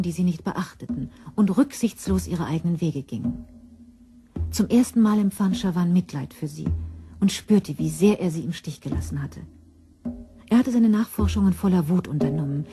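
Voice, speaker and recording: A woman's voice: fairly low pitch, dark tone. A woman reading scripted narration for a crime radio play.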